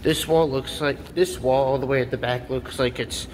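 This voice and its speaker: A man filming his own elevator rides and chatting casually behind a handheld camera, offhand and excitable, swearing now and then. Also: medium pitch, normal speed. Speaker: elevator fan vlogger. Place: parking garage elevators and decks, indoors and out. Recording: echoey with loud background noise.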